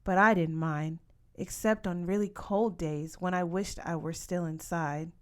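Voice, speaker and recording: A woman narrating a first-person story as an audiobook reader, with expressive delivery. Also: lowish voice, measured pace.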